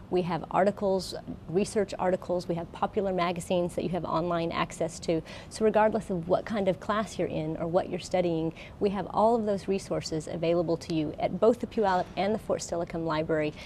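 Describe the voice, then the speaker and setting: A woman's voice: lowish voice, mellow, a little hiss or hum. An American woman giving an informal, plain-spoken explanatory presentation as a college librarian. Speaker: college librarian, guest speaker.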